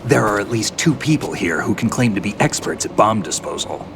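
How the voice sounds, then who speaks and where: An actor playing a self-assured, laconic soldier with a dry, unhurried manner in a video game. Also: male voice, on the low side, expressive, gravelly, background noise. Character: laconic, self-assured male soldier posing as navy lieutenant. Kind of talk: video game cutscene acting.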